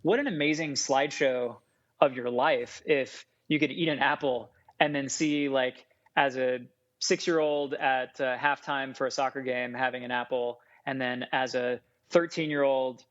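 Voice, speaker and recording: A man who grew up speaking Farsi, a food scientist and chef, talking casually as a podcast guest in a rambling, animated way with lots of fillers. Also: mid-range voice, a little slow. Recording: dull lo-fi sound.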